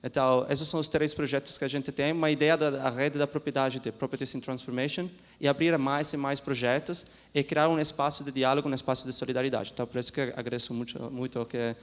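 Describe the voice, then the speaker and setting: A man, a law professor, giving a seminar talk in a lecture hall, speaking haltingly with many restarts and a mix of languages. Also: mid-range voice, fairly even intonation. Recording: long hall reverb.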